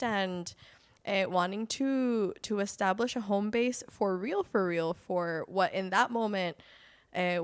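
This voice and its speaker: A woman in her thirties from South Florida, speaking as a guest on a radio show. Her voice sits in a middle register and she recounts things in a reflective, measured way. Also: mid-range voice, smooth. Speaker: guest, journalist. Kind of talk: casual interview conversation.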